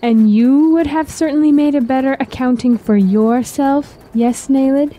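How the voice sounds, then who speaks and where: A concerned, questioning woman in a fantasy radio play, voiced by an actress. Her voice is fairly high yet very dark and soft, with an earnest delivery. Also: moderate pace. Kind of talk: radio drama acting.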